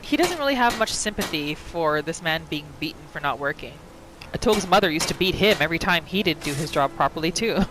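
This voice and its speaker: A woman giving expressive, storytelling third-person commentary as she roleplays through a video game. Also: sits low, a little fast, background noise. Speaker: gamer narrating a roleplay playthrough. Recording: faint game music behind.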